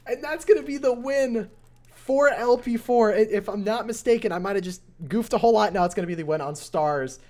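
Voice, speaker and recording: Young man, game caster, hoarse voice, excitable play-by-play, live stream. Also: sits high, a little fast. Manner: excitable play-by-play, rising to near shouts at close finishes. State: voice going hoarse.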